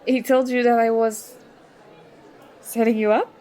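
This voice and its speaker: A woman's voice: on the high side, little pitch movement, a little slow, mellow, a faint crowd, lightly acted. A woman acting an indignant, sarcastic young student confronting a former school enemy in an audio roleplay. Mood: baffled, defensive. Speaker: ASMR roleplay performer. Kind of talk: ASMR roleplay acting, one side of a dialogue.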